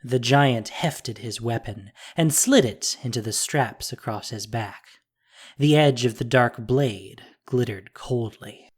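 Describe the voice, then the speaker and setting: A narrator reading a scripted fantasy audio drama in a measured, dramatic, descriptive way. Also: mid-range voice, breathy edge.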